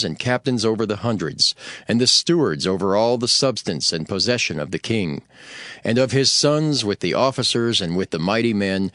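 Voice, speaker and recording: A man narrating scripture as a scripted audio reading, with formal and deliberate phrasing. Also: lowish voice, no echo, studio quality. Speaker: Bible narrator. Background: soft background music.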